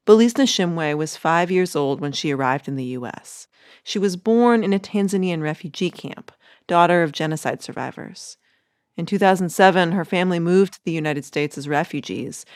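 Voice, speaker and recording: A woman's voice: fairly low pitch, normal speed, a little mellow, no room sound, clean studio sound. A woman reading scripted narration as the host of a podcast.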